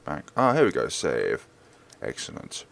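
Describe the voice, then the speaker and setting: A man's voice: very deep voice, wildly swooping pitch, measured pace, vocal fry. A man hosting a game playthrough, switching between dramatic read-aloud narration and casual commentary. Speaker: let's play host.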